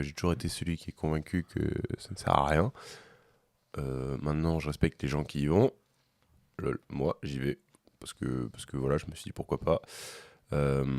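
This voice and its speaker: Co-host, man, very low voice, sniffly cold, halting casual talk, dry close mic. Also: dry recording. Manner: hesitant, many fillers and restarts. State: has a cold. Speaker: podcast co-host. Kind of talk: casual chat over a meal.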